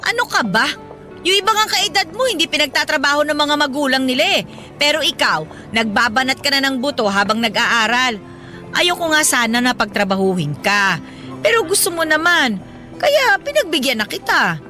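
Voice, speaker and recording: Radio drama mother, late 30s: high, forceful, colloquial, affectionate and proud. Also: normal speed, soft background music, exaggerated voice. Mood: earnest, affectionate. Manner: animated, motherly, colloquial, playfully indignant. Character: hardworking, proud, affectionate 37-year-old mother driving a pedicab. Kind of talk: Filipino radio drama acting.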